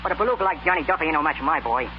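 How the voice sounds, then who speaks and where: An actor playing a pushy, slangy Brooklyn fight manager posing as a butler, in 1930s radio comedy style. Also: male voice, on the high side, very fast, close to an everyday voice. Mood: cocky. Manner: pushy, slangy, ungrammatical tough talk. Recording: scratchy, muffled 1930s radio recording.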